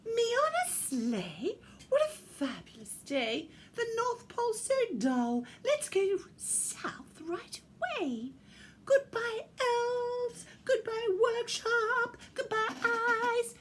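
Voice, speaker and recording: Woman playing an excitable, squeaky-high young reindeer. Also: slow pace, slight background noise, heavily acted. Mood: giddy excitement. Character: excited, giddy, naughty young female reindeer.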